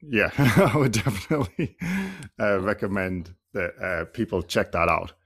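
A man's voice: on the low side, a little slow, fairly bright tone, breathy edge. A man hosting a podcast, talking in a thoughtful, rambling way with many fillers and restarts. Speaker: podcast host and clinician. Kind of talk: casual podcast conversation.

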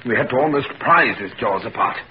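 An actor playing an anguished middle-aged father who speaks in a hushed, grave, dramatic tone, in 1940s radio acting style. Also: medium pitch, animated, a little fast, a little hiss or hum, lightly acted. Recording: dull old radio recording.

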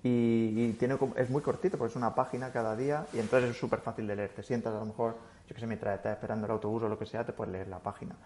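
A man speaking as a guest in a relaxed interview, reflective and rambling, with frequent restarts. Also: medium pitch, fast talker, few pauses. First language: Spanish. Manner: thoughtful, rambling with many restarts. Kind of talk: casual interview chat.

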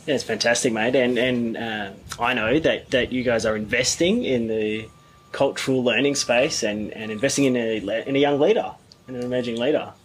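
A man interviews a pastor in a warm, affirming tone, stumbling over a word now and then as he frames his questions. His pitch is average for a man. Faint background hiss sits behind him. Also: moderate pace, low-quality recording. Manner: friendly, affirming, some repeated words. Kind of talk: casual interview.

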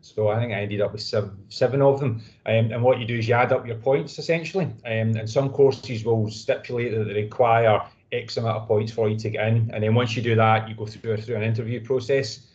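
A man's voice: medium pitch, fairly even intonation, fairly quick, hardly any pauses, a little mellow, highs cut off. A Scottish man in his thirties answering interview questions in a modest, rambling way. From Scotland. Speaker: guest physiotherapist.

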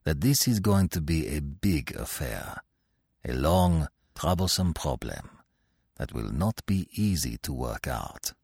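A man's voice: on the low side, wildly swooping pitch, measured pace, no room sound, clean studio sound, heavily acted. A man doing Poirot, pompous fussy detective. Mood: grave. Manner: pompous, self-assured lecturing. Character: vain, fussy male detective, middle-aged. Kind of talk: audiobook reading.

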